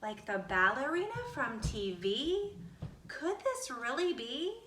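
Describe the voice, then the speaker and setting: A woman's voice: high voice, very animated, slow pace, a bit bright, a little hiss or hum, exaggerated voice. A woman voicing an eager, earnest young girl who dreams of ballet in a storybook. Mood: hurt, wondering. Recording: roomy echo, distant from the mic.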